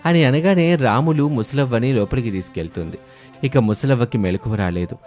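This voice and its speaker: A man's voice: mid-range voice, fairly quick, darkish tone. A man narrating a scripted moral story. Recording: music behind the voice.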